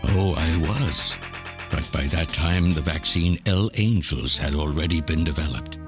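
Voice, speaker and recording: An actor playing an elderly, obsessed scientist villain in a video game, delivering a bitter, deliberate, theatrical confession. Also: male voice, rumbling low. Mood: grave, confessional. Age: older. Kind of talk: dramatic video game voice acting, monologue. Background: game music.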